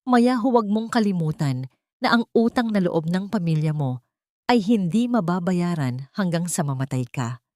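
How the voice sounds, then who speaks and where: A woman's voice: lowish voice, animated, moderate pace, a little mellow, no echo, studio quality, lightly acted. A woman voicing a cruel, haughty rich woman who speaks with cold, condescending menace in an audio story. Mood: cold, threatening.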